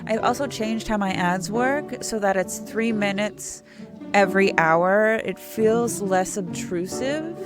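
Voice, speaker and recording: A woman's voice: mid-range voice, takes it slowly, music in the background. A young adult woman streaming a game, chatting in a rambling, self-correcting way and reading the text aloud. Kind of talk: casual let's-play chat and reading game text aloud.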